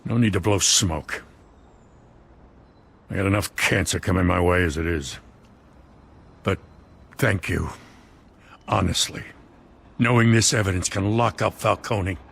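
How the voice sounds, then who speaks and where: Honest weary cop, middle-aged, game drama, deep gravelly voice, blunt, plain-spoken. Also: male voice, unhurried, pauses often, fairly bright tone, a little hiss or hum, exaggerated voice. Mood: weary, grateful. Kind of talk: dramatic video game voice acting.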